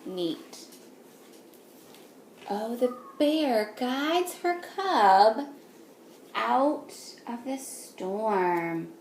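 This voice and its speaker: A woman teacher reading a picture book aloud to young children, patiently, in a sing-song way, pausing to ask them simple questions. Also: on the high side. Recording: echoey room with faint noise.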